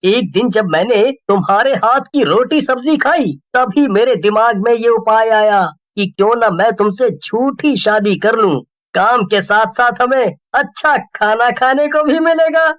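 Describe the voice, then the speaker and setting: A woman plays a scheming young husband in a cartoon tale. The voice is high, earnest and deliberate. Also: lightly acted. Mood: matter-of-fact. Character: deceitful young husband, earnest-sounding suitor, selfish. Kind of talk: cartoon story acting.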